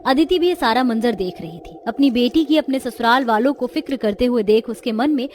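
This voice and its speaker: High-voiced woman narrating a cartoon tale. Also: fairly quick, darkish tone, slight background noise. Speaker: story narrator. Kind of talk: scripted dramatic cartoon narration.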